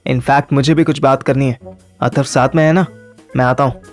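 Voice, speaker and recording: A man's voice: medium pitch, fairly even intonation, normal speed, soft background music. A man narrating a first-person story and acting its dialogue in colloquial Hindi mixed with English. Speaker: narrator and lead character of an audio story.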